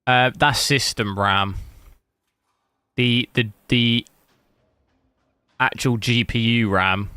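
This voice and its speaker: A man streaming a game, chatting casually with rambling, halting restarts. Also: medium pitch, steady tone, pushed and bright, clean tone, dry recording. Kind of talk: casual live stream chat.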